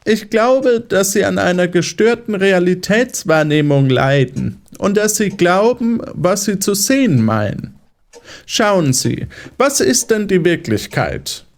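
An actor playing a patronising, condescending psychiatrist who explains in measured phrases in a German audio drama. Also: male voice, high-pitched, lively intonation. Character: smug, patronising male psychiatrist, calmly condescending.

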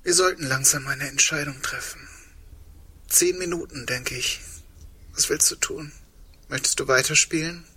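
An actor playing a shaken, grieving man at the end of the world in an intimate radio play, speaking in a halting, brooding way. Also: on the high side, bright, forceful tone, breathy edge, heavily acted. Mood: sober.

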